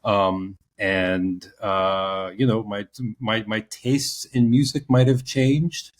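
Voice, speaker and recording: Male co-host from Pakistan, forties, low voice, reflective and halting in casual storytelling. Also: steady tone. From Pakistan, moved to the US around age ten. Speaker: podcast co-host telling his story.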